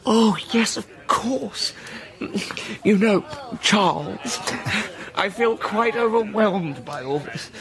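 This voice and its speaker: A man's voice: high voice, expressive, slow, creaky, long pauses, crowd noise, full character voice. An actor playing a flustered, genteel, tipsy English man of letters in a period radio comedy, effusive and self-pitying. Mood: tipsy, overwhelmed. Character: flustered, obsequious, bitter tipsy literary gentleman.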